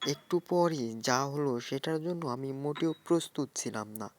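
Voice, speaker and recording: A woman's voice: extremely low pitch, normal speed, a little mellow, a little hiss or hum. A woman narrating a scripted first-person horror story as its storyteller.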